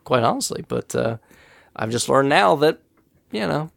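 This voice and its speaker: Podcast host guy with a cold, kinda nasal, gravelly voice, bouncy pitch, chatty, laughs a lot. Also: moderate pace, no room sound, clean studio sound. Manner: chatty storyteller, laughs often, animated retelling. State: has a cold, nasal and scratchy. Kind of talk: casual podcast chat.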